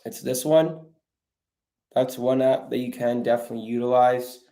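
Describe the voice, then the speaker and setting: A man's voice: medium pitch, steady tone, normal speed, mellow. Casual man hosting a live Q&A. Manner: informal, addresses viewers as bro and guys. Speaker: livestream host answering viewer questions. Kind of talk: casual live Q&A, coaching online sellers.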